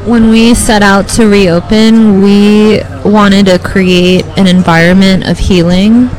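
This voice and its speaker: A woman speaking earnestly as an interview guest, sincere and measured with small pauses. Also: mid-range voice, flat delivery, darkish tone, low-quality recording. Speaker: interview guest, cancer center operator.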